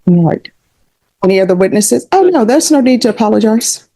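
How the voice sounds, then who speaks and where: A woman presiding as a judge in a courtroom, courteous and brisk in procedure. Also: fairly high pitch, sing-song, dark tone, a little hiss or hum.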